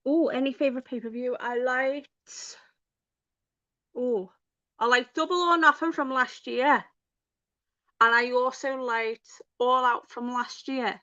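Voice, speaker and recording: Bright, high-voiced Scouse woman. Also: steady tone, takes it slowly, long pauses, no echo. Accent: Liverpool (Scouse). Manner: chatty, bubbly, laughs often, talks to viewers. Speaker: livestream host. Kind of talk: casual live stream chat. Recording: dull lo-fi stream audio.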